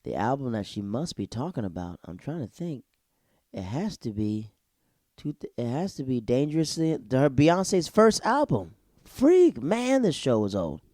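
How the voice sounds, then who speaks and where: Brief: young male solo podcast host, loose and slangy, mellow dark tone, dry close sound. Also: medium pitch, very animated, unhurried, dry recording. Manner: rambling, digressive, slangy. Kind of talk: casual TV episode review.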